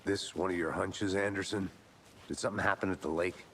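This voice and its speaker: An actor playing a dry, skeptical veteran FBI agent in a video game, gravelly and deadpan, sparing with words. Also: male voice, low-pitched, a little fast, a little hiss or hum. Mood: businesslike. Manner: deadpan, laconic. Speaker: detective partner character.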